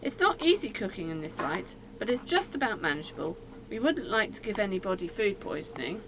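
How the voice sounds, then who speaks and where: A faintly noisy radio-play recording has a woman playing a practical factory cook. She is chatty and matter-of-fact, with a mid-pitched, very lively delivery. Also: a little fast, lightly acted. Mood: cheery. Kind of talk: amateur radio play acting.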